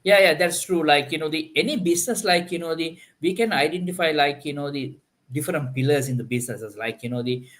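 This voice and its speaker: A man's voice: a little high, fairly quick, fairly bright tone. A man hosting a live online panel, talking in a loose, filler-heavy way and often restarting his sentences. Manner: filler-heavy, repeats "like, you know". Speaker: host. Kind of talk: live stream panel chat.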